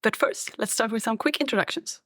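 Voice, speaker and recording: A woman's voice: on the high side, a little fast, fairly bright tone, dry recording. A Swedish-accented woman in her thirties chats on a video call from her apartment. Her voice sits dry and close, with no room around it. She talks loosely, threading in lots of "like" fillers. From Sweden. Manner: chatty, many "like" fillers, laughs easily. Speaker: app developer, co-host. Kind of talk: casual video-call chat. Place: indoor apartment room by a large window. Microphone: video call webcam.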